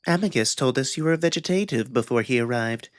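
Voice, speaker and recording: A man's voice: mid-range voice, animated, fairly quick, no room sound, clean studio sound, close to an everyday voice. A man voicing an anxious, prying male alien cat in a visual novel drama, questioning and evasive. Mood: suspicious, probing.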